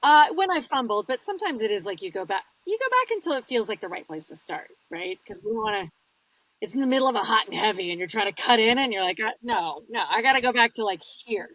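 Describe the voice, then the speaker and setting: A North American woman with a fairly high voice in a very dry recording. She talks casually, like a guest on a podcast, and acts out little asides as she explains things. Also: lively intonation, quick pace, fairly bright tone, no room sound, poor audio. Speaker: audiobook narrator, interview guest.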